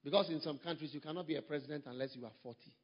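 Husky male pastor, fairly high voice, preaching emphatically; dull recording with a long hall echo. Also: very fast. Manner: emphatic, repetitive preaching with surges in volume. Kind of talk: sermon.

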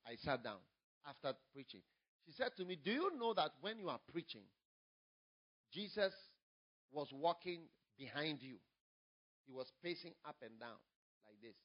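A man with a Ghanaian English accent, a pastor preaching a sermon, moving from quiet storytelling to loud, emphatic preaching. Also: fairly high pitch, sing-song, normal speed, long pauses, dull audio.

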